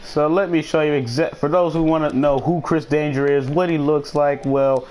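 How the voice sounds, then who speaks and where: A man's voice: fairly high pitch, fairly even intonation, moderate pace, a little mellow. A man chatting casually as he hosts a live gaming stream and commentates on the action, often repeating phrases for emphasis.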